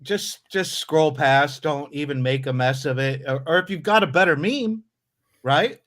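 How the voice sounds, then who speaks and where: A man with a somewhat high voice rambling through a point in a casual chat. He restarts sentences often and gets animated as he goes. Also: normal speed.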